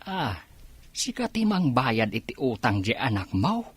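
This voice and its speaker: A man's voice: mid-range voice, very animated, measured pace, husky, no echo. An Ilocano-accented man playing a long-suffering neighbour in a radio drama, complaining and pleading over a debt. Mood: resigned.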